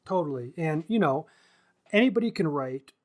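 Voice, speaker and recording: A man hosting a casual book discussion podcast, talking in a halting way as he searches for words. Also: fairly high pitch, animated. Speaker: podcast host.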